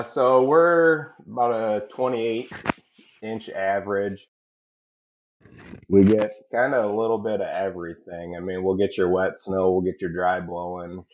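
A man's voice: low voice, lo-fi sound. A guest man from Illinois, a landscaping business owner, talking in a laid-back, plain-spoken way on a podcast. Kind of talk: casual chat.

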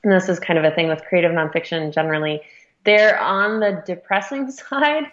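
Female interviewee, editor type, lowish pitch, informal and thinking aloud, slightly dull lo-fi sound. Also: moderate pace, a bit bright. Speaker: magazine editor being interviewed. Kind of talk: casual interview conversation.

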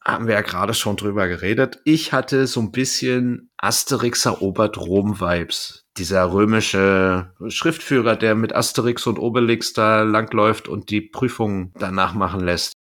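A man with a moderately low voice. He is a podcast co-host who recaps stories in casual chat. His way of talking is colloquial and a bit flippant. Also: moderate pace, few pauses, fairly bright tone.

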